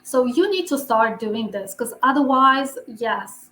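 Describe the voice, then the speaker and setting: A woman's voice: fairly high pitch, animated, measured pace, fairly bright tone, slight background noise. A woman from Romania with a Romanian accent, speaking earnestly as a podcast guest; English is not her first language. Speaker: podcast guest, visibility expert. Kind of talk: podcast interview. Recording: echoey room.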